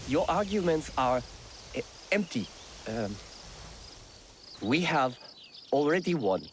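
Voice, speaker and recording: Young man sounding out words slow, pitch jumping all over, faint outdoor noise behind. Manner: halting, hesitant reading aloud.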